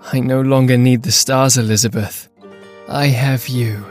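A woman's voice: very deep voice, fairly even intonation, a little slow, a little mellow, soft background music, voice pushed down. A woman voicing a devoted, brave man in love, solemn and tender, in a dramatic gothic story. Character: devoted, brave young male lover, gentle and solemn.

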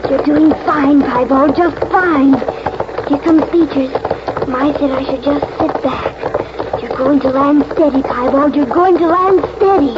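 Earnest high-voiced young girl, old radio. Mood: tender, excited. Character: earnest fourteen-year-old girl rider devoted to her horse. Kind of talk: 1940s radio acting. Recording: noisy dull 1940s radio recording.

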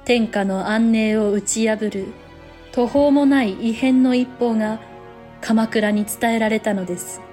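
A woman's voice: fairly high pitch, unhurried, over music, natural voice. A female actor narrating a historical audio drama as a courtly noblewoman, in polite, formal storytelling language.

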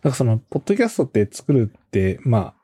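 A man hosting a podcast, chatting politely in a relaxed business interview style. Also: mid-range voice, fast, breathy edge, dry recording, studio quality. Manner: polite, frequent listening backchannels. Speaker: podcast host and company co-founder.

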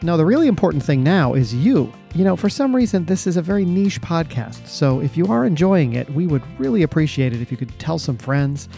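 An earnest, upbeat man hosting a comedy interview podcast, his voice warm and slightly high for a man. A faint music bed sits under him in the studio. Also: very animated, a little fast, gravelly, hardly any pauses. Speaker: podcast host. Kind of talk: improvised satire podcast hosting.

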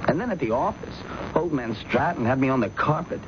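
A man's voice: medium pitch, expressive, lightly acted. Noisy old radio, actor as anxious pleading man. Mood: weary, pleading. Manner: nervous, halting, pleading. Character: anxious, defensive male office worker protesting his innocence. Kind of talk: radio drama acting. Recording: dull, noisy vintage radio recording.

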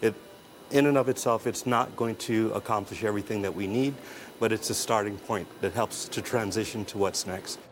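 A man speaking as an official interviewee in a measured way. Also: lowish voice, noisy background. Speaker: airport environmental official being interviewed.

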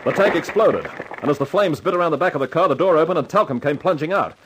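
A man's voice: fairly high pitch, animated, lightly acted. Actor as a cool hardboiled radio tough guy, crackly recording. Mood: tense narration. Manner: wry, unhurried, tough-guy delivery. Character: cool, wisecracking hardboiled man, coolly in command. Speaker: lead actor and narrator in a crime radio drama. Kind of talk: 1950s radio acting, hardboiled first-person narration. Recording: noisy vintage 1950s radio recording.

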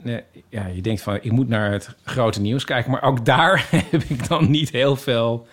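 A man's voice: mid-range voice, measured pace. A man of about fifty chatting informally on a podcast, often restarting his thoughts. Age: 50s. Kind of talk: casual podcast chat.

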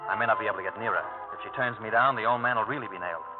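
A man's voice: on the low side, rapid-fire, close to an everyday voice. An actor playing a shrewd, scheming tough man with a clipped, hard-boiled delivery in old radio drama. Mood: scheming, urgent. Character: shrewd, scheming tough son, smooth with women. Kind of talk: 1940s-50s radio drama acting. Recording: tinny old radio broadcast, music behind.

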